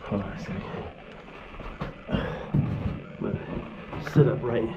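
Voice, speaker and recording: A man's voice: lowish voice, steady tone. Guy talking to himself crawling thru a cave, echoey and muffled, lots of noise. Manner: muttering to himself while crawling, halting and offhand. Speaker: caver filming his trip. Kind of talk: casual self-narrated vlog. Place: inside a lava tube cave. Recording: echoey cave, dull sound, loud background noise.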